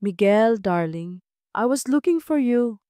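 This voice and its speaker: A woman's voice: a little high, lively intonation, slow, mellow, smooth, no echo, studio quality. A woman voicing a haughty female rival in an audio drama, sugary sweet and possessive with a pointed, venomous edge.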